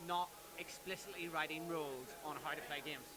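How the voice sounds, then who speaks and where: A man gives a measured explanation of a tournament pack while a crowd chatters loudly behind him. His voice sits a little high. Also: steady tone. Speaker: event organizer. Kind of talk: explaining in casual talk.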